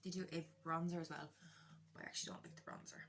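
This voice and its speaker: A woman's voice: low voice, big pitch swings, quick pace, creaky, background noise. An Irish woman chatting casually through a makeup tutorial, candid, joking and self-deprecating, with a bit of swearing. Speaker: beauty vlogger doing a makeup tutorial.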